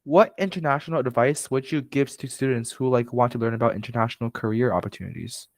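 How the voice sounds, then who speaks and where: A young man hosting a podcast and asking interview questions in a relaxed way, with frequent "like" and "right?". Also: medium pitch, quick pace, no echo, studio quality. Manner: relaxed, uses fillers like "like" and "right?". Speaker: podcast host.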